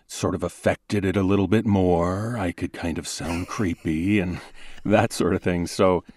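A man who hosts a podcast and does voice acting, explaining things in a measured, articulate way in an interview. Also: sits low, fairly even intonation, a little mellow, no room sound, studio quality. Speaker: podcast host and producer, voice actor. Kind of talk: relaxed interview conversation.

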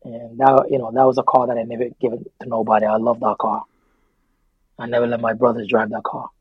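A very husky, raspy man with a Somali accent speaks as a guest in a reflective interview. He is earnest and emphatic, circling back over phrases for weight. The recording is dry and somewhat dull. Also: mid-range voice, completely flat delivery, quick pace, no room sound. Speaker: interview guest, memoir author.

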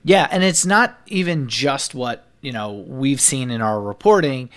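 A man of middle pitch who talks through the numbers fluently, one state after another. He is a senior political editor on a political podcast, explaining polls and ad spending with only a few uh fillers. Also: lively intonation, measured pace. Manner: fluent explainer, rattles off figures with occasional uh fillers.

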